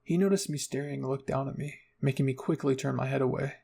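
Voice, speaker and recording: A man's voice: medium pitch, fairly even intonation, fast, vocal fry, warm tone, husky. A man narrating a scripted first-person fan fiction story as an ASMR roleplay reading.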